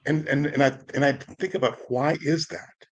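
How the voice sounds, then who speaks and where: An older man from the United States, a guest in a casual interview, speaking haltingly with many restarts. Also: fairly high pitch, fairly even intonation. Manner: halting, frequent restarts and "you know".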